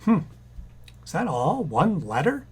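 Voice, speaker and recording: An actor playing an exasperated young husband who works in a bank, in an old-time radio comedy. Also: male voice, high voice, sing-song, a little slow, breathy edge, full character voice. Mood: fussing.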